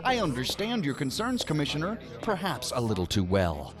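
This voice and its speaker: A coolly threatening federal agent in a sci-fi radio drama. His voice is fairly high and lively in inflection, formal and pointed, over music. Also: moderate pace. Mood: firm, veiled threat. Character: firm, shrewd government task force agent, coolly threatening. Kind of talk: sci-fi audio drama acting. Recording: music behind the voice.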